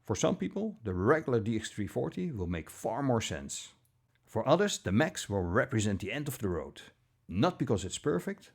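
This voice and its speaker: A man reading a scripted product review in a measured, deliberate manner, with short pauses between phrases. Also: on the low side, creaky, darkish tone, husky. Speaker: audio gear reviewer.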